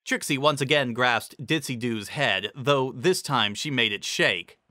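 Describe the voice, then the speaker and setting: A man's voice: medium pitch, a little slow, bright, forceful tone, no echo, studio quality. A man giving a dramatic scripted narration of a story.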